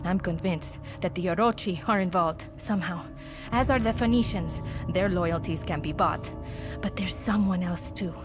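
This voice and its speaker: A woman's voice: lowish voice, normal speed, few pauses, close to an everyday voice. A woman playing an embattled, distrustful field operative in a video game, with a Spanish accent and an earnest, weary, dramatic delivery. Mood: grave, suspicious. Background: music.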